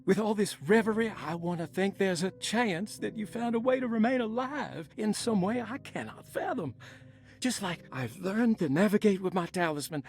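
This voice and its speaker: A woman's voice: medium pitch, expressive, moderate pace, darkish tone, lightly acted. An actress playing a wistful, searching woman, giving a reflective, literary monologue in a video game. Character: wistful, searching woman musing on dreams and memories.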